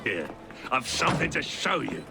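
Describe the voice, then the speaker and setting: Flamboyant gravelly English gang boss. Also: male voice, a little high, big pitch swings, moderate pace, noisy background. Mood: charming, conspiratorial. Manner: theatrical, grandiloquent, affected courtesy. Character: flamboyant, theatrical Victorian gang boss, menacing beneath the charm. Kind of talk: video game cutscene acting. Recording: music under the voice.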